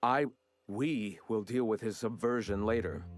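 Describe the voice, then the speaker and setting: An actor playing a solemn, preaching male faction leader with a measured, sermon-like delivery. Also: on the low side, no room sound, lightly acted. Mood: preachy.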